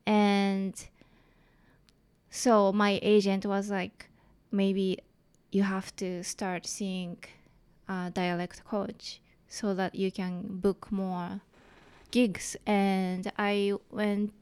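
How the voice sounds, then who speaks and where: A young adult woman from Japan with a thick Japanese accent, a comedian speaking English as a second language, talking casually with halting phrasing and many fillers. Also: mid-range voice, little pitch movement, a little mellow, clear, resonant tone. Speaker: comedian guest. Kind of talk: casual interview chat.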